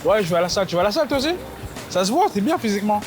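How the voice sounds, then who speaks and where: A man's voice: sits high, sing-song, very fast, noisy background. A man in his twenties making casual chat, politely asking questions and echoing answers back. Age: 20s. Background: music.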